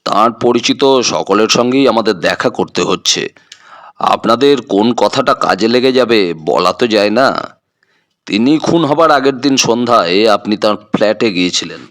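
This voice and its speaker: A man's voice: on the low side, expressive, moderate pace, a bit bright, voice pushed down. Man playing formal, probing police inspector. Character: polite, methodical male police inspector questioning people. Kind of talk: audio drama acting.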